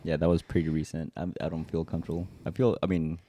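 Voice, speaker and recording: Young male podcast co-host with a Spanish accent, very low mellow voice, relaxed and hesitant, dry mic. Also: fast talker. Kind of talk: casual chat.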